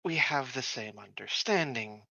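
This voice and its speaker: A man playing a tabletop roleplaying game, stammering at first and then speaking slowly and deliberately in character. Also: medium pitch, expressive, vocal fry, pushed and bright, no echo, muffled recording. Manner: halting, stammering starts, then slow measured speech. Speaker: player. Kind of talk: in-character roleplay as a synthetic colonist.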